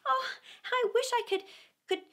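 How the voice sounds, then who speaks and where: A woman's voice: extremely high pitch, normal speed, pushed and bright, pitched above the performer's own voice, heavily acted. A woman voicing a curious, earnest little girl from a children's storybook, prim and wistful, talking to herself. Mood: wistful, plaintive.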